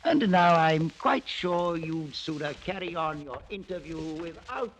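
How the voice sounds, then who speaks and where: An actor playing a cruel, scheming older aristocratic uncle in a mid-century radio drama, speaking with mock courtesy and theatrical relish. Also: male voice, fairly high pitch, animated, a little slow. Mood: smug relish. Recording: noisy vintage radio recording.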